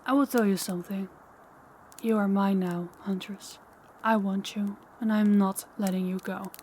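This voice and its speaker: A menacing female vampire, acted by a woman for an intimate audio drama. She speaks in a medium-pitched voice, taunting, possessive and used to being obeyed, slipping toward whispers. There is noticeable noise behind her. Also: steady tone, darkish tone, in a large hall, close to an everyday voice. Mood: possessive, gloating. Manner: slow, taunting, commanding, dropping into whispers. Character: possessive, menacing older female vampire, toying with captive huntress. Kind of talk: intimate audio roleplay acting.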